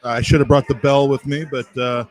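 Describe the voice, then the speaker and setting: A man's voice: medium pitch, little pitch movement, moderate pace, poor audio. A man hosting a football podcast in a casual, chatty style. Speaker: podcast host. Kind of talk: casual sports chat.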